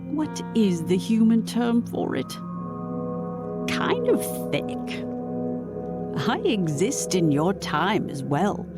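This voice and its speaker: A woman's voice: lowish voice, full character voice. An actress playing an imperious female demon in an audio drama, formal, menacing and mockingly amused.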